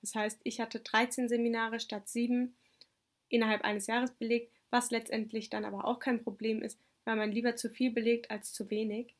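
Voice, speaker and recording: A young woman whose first language is Turkish answers interview questions in a calm, orderly way. Her voice sits a little high. She explains things step by step. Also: moderate pace. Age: young adult. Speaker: exchange student interviewee. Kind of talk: interview answers about studying abroad.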